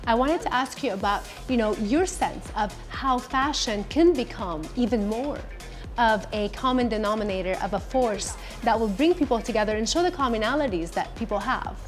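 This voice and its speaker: A woman's voice: a little high, animated, moderate pace, soft background music. A woman hosting an interview, chatty and encouraging with her guests. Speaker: host.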